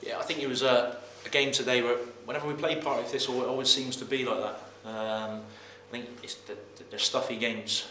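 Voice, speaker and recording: A man in his thirties, a footballer, answering post-match questions in a halting way, repeating words and filling pauses with "um". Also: mid-range voice, fairly even intonation, bright, forceful tone. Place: press room in front of sponsor board. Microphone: camera mic, head and shoulders framing. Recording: roomy with faint noise.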